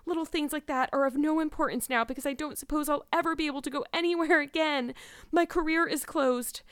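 A woman's voice: high voice, fairly quick, a bit bright, no echo, studio quality, voice pushed up. A woman voicing a despairing, wordy young girl in a storybook, pleading and earnest in a melodramatic way.

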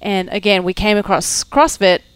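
A woman, a fitness coach guest talking casually at home in a halting way, with many fillers and trailing lists. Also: medium pitch, clear, resonant tone, no room sound. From New Zealand. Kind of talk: casual interview chat.

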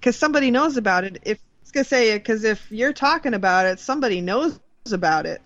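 A woman's voice: on the high side, animated, fast, fairly bright tone, no echo, highs cut off. A woman co-hosting a podcast, casually chatting and reading out entertainment news. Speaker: podcast co-host.

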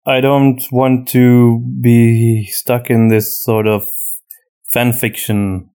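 A man's voice: medium pitch, flat delivery, slow, warm tone, clear, resonant tone. Man chatting casually as a podcast host. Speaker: podcast host and audio drama writer. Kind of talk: casual chat answering listener questions.

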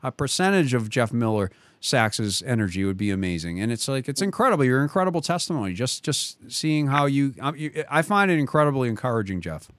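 A man's voice: mid-range voice, big pitch swings, a little fast, few pauses, a bit bright, dry recording. A man with a Boston accent hosting a talk show, telling stories in a loose, rambling way with many restarts and asides. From metropolitan Boston. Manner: talkative, lots of restarts and trailing asides. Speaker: podcast host. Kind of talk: rambling conversational storytelling.

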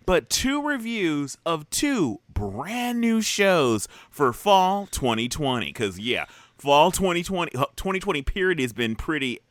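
An excitable young man co-hosts a casual TV review chat, tossing off quick asides. His voice is bright and forceful, swinging widely in pitch. The sound is dry and close, with no room around it. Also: dry recording. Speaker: podcast co-host.

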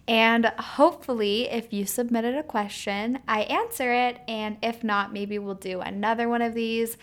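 Woman, podcast host, casual solo chat, slightly nasal, sore healing throat, fillers. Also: medium pitch. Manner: casual and chatty, many ums and likes.

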